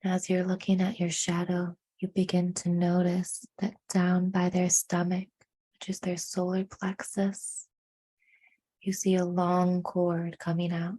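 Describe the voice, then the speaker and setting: A woman leading a guided meditation in a hushed, soothing manner, with deliberate phrasing and frequent pauses. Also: on the low side, monotone.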